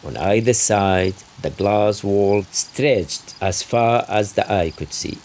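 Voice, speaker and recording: A man's voice: on the low side, slow, a little mellow. A man reading literary fiction aloud as its author, in scripted narration. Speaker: author reading from his novel.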